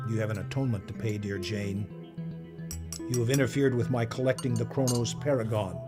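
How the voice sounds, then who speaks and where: A man acting in an audio drama as an old magician and collector, formal, smug and lecturing, with a measured delivery. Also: lowish voice, fairly even intonation, music in the background, natural voice. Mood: stern, ominous. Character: old male magician and artifact collector, commanding, smugly moralizing.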